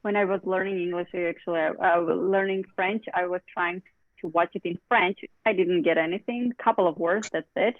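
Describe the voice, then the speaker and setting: A woman leading a casual English conversation club, chatty and quick to laugh as she draws others in. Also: on the low side, steady tone, no echo. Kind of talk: casual group chat in English. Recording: thin phone-like dry online call.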